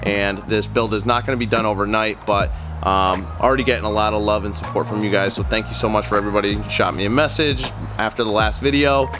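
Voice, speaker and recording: A man's voice: lowish voice, steady tone, fast. A young man with a nasal voice from a cold, talking in an easygoing, earnest way through a casual car walkaround vlog with many ums and uhs. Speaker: car vlogger. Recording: music playing underneath.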